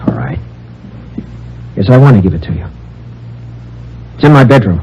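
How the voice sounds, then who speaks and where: Man acting an anxious office worker, agitated, hesitant, wide-swinging pitch, vintage radio drama, slightly noisy recording. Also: lively intonation, moderate pace, a little mellow, lightly acted. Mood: bitter, desperate. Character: anxious, desperate male office worker near breaking point.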